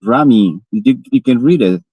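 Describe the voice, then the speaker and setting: A man's voice: medium pitch, lively intonation, normal speed, slightly rough, dry recording, highs cut off. A man joking and teasing in casual live stream banter, laughing along with the others.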